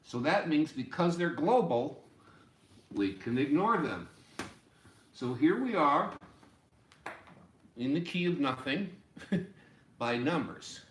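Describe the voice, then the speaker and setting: Slow-talking older man teaching, roomy sound. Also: medium pitch, a little hiss or hum. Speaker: music teacher. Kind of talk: informal instructional explanation at a whiteboard. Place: small cluttered indoor music room. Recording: roomy, echoey home room sound.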